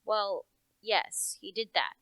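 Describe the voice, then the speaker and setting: A woman's voice: mid-range voice, lively intonation, takes it slowly, pushed and bright, no room sound, heavily acted. A young woman playing a folksy, opinionated country police officer in a radio play, with a rustic, knowing, matter-of-fact manner. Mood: confiding. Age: young adult.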